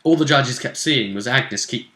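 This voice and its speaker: Bright-voiced man in an echoey room. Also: mid-range voice, moderate pace, slight background noise. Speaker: host. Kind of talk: casual chat recapping a tabletop role-play game. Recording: roomy, echoey room sound.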